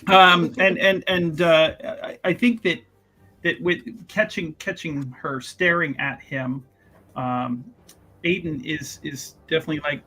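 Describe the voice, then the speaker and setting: A man in casual tabletop roleplay banter, talking haltingly and repeating words as he builds his point. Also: a little high, fairly even intonation, sharp tone, low-quality recording.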